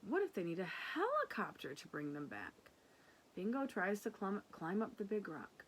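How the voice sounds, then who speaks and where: A woman reading a children's picture book aloud in an expressive way, with casual asides. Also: medium pitch, a little hiss or hum. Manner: animated, unhurried narration. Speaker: storybook reader.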